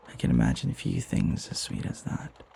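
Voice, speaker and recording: A man playing a devoted, flirty butler in an intimate ASMR roleplay, tender and hesitant, often sinking into a breathy whisper. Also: brisk, mellow, slight background noise, natural voice. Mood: dazed, playful. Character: flirty lovestruck male butler, devoted and deferential.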